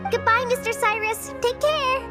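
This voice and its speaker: A woman voicing a tiny, excitable female fairy companion who talks in eager exclamations in a game cutscene. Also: extremely high pitch, normal speed. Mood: chirpy. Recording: studio voice with faint music.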